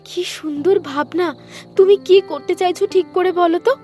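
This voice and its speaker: A woman playing a curious and supportive young wife in a village cartoon. Her voice is extremely high, with music underneath. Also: fairly even intonation, fairly quick, warm tone. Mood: admiring. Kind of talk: animated story dialogue.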